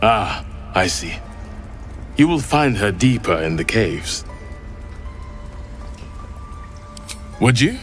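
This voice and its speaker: Troubled, expressive druid. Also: male voice, lowish voice, a little slow, faint music behind. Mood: hopeful, grateful. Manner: earnest, formal. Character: troubled, earnest male druid, loyal and worried. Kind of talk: fantasy video game dialogue.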